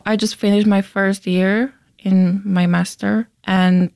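A young woman from Slovakia speaks English as a second language, her Slovak first tongue still there in her words. Her voice is smooth and clear. The recording is close and dry, with no room around it. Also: medium pitch, little pitch movement, takes it slowly, a little mellow, dry recording. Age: 20s. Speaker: interviewee, chemistry student. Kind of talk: casual explanation in an interview.

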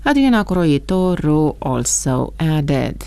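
A woman radio reporter delivers a scripted report. Her voice sits low and carries a noticeable gravelly fry. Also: big pitch swings, slow pace, warm tone. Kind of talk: scripted news report narration.

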